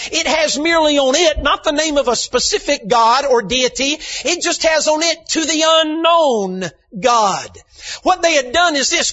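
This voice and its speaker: Man, preacher, slightly hoarse, folksy storytelling, shouts for emphasis, bright sharp tone. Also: extremely high pitch. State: slightly hoarse from lack of sleep. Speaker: visiting preacher. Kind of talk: sermon. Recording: dull lo-fi church recording.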